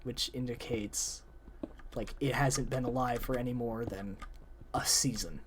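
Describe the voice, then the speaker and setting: A man's voice: mid-range voice, steady tone, a little slow, noisy background. A young man chatting casually about story ideas with a friend while gaming, rambling with many fillers, his voice cracking and wearing out. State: losing voice, voice cracking.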